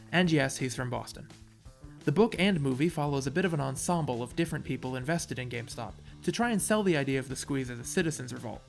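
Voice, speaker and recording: Man, casual essay narration, wry, slightly creaky, light music behind. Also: medium pitch. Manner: wry, sarcastic asides. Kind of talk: casual scripted video essay commentary.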